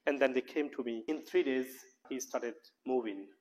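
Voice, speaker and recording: A man's voice: mid-range voice, normal speed, darkish tone. A man with a Bangladeshi accent giving an informal health lecture, speaking earnestly in loose, repetitive English phrasing. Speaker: professor and health practitioner giving a talk.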